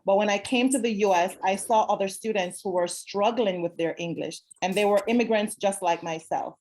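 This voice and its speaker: A woman's voice: medium pitch, fairly quick, a little mellow, rough recording. A woman teacher from Jamaica talks over a video call, her voice sitting in a middle register. She explains her classroom methods patiently and earnestly, often repeating key phrases for emphasis. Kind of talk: zoom talk answering questions.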